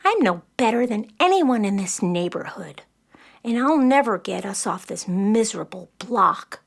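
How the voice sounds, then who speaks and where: A woman's voice: medium pitch, lively intonation, measured pace, creaky. A woman playing a frustrated, dreamy nine-year-old city girl in a children's audio play, dramatic and full of self-doubt. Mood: exasperated, despairing.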